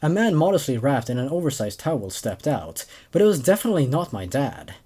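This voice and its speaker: Young man, lively pitch, horror story host. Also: brisk, breathy edge. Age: 20s. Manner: hesitant, repeats words when commenting. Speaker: host and narrator of horror stories. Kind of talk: reading horror stories aloud and casual commentary.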